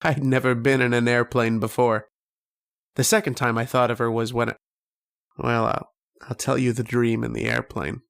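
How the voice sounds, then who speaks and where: An actor playing a haunted, anguished man telling his story in a halting, dramatic old-time radio horror style. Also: mid-range voice, level tone, vocal fry, a bit bright. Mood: haunted, uneasy. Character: haunted, anguished man recounting his nightmares and lost love.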